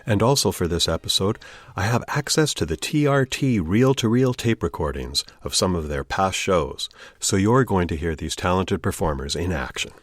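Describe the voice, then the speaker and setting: A man's voice: deep voice, animated, normal speed, no echo. A man hosting a podcast, introducing an episode in scripted narration. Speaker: podcast host. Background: music.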